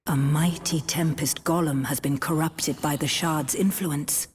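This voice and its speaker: A woman's voice: low voice, fairly even intonation, unhurried, a little mellow, slight background noise. A woman narrating a fantasy game cutscene in a grave, portentous, dramatic storytelling style.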